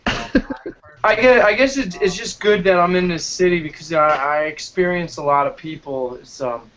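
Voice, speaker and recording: A man from New Jersey chatting casually as a guest, halting and repeating his words. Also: high voice, fairly bright tone, slight background noise. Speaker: guest street performer. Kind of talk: casual chat. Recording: dull lo-fi call audio.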